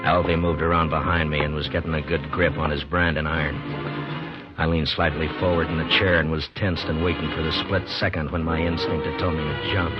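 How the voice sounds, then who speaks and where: An American actor playing a steady, weary frontier marshal in a 1950s radio western, measured and understated in dialogue and narration. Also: male voice, very deep voice. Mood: grim, tense. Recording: dull 1950s radio recording with music.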